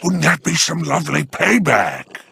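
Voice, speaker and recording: An actor playing a sly, scheming rogue in a video game, with a gravelly voice and a smug, teasing manner. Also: male voice, fairly high pitch, very animated, normal speed, bright, forceful tone, slight background noise, heavily acted.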